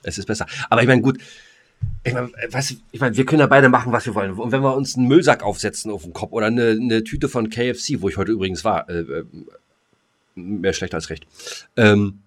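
Low-voiced man bantering on a podcast. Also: a little fast. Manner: slangy, rambling, self-interrupting. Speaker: podcast co-host. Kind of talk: casual joking podcast banter.

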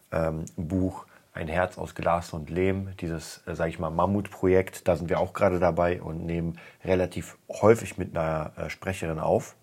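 A man hosts his own podcast, talking through his week in a loose, chatty monologue. His voice sits very low and sounds husky and stuffed up from a cold. He often repeats words to stress them. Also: darkish tone. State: has a cold, stuffy nose. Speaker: podcast host. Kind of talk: casual weekly update monologue.

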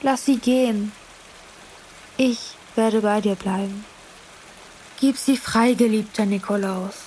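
Young girl, voiced by a woman, tender and pleading; slow, slightly high, faint rain noise. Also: darkish tone, close to an everyday voice, low-quality recording. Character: young girl gently urging her beloved to give up.

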